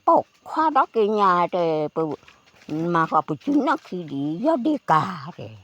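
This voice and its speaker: Animated folk storyteller with lively pitch. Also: moderate pace. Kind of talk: oral folk-tale storytelling, conversational.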